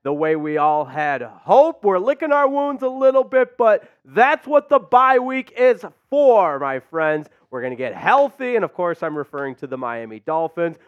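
A man's voice: sits high, a bit bright, clean tone, dull audio. A man hosting a live sports chat show, upbeat and hyped, reading out viewer comments. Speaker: podcast host.

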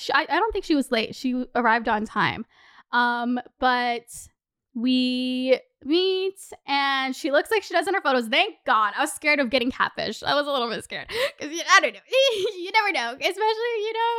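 A woman, telling a candid personal story in a chatty, animated, sweary way full of "like". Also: high voice, clear, resonant tone, no echo, studio quality. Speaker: podcast host.

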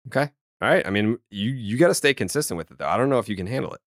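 A young man hosting a sports podcast, loud and teasing in casual chat. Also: mid-range voice, lively intonation, racing, no room sound, studio quality. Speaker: podcast host.

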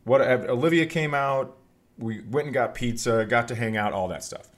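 A man's voice: mid-range voice, normal speed. A middle-aged man and podcast host telling casual comic stories in a rambling, profane way.

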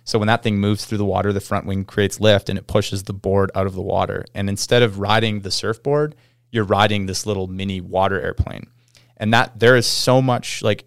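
Canadian man, low smooth voice, casual podcast chat, surfer slang, dry close sound. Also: no room sound. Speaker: podcast guest, pro snowboarder. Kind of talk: casual chat, enthusiastic explaining.

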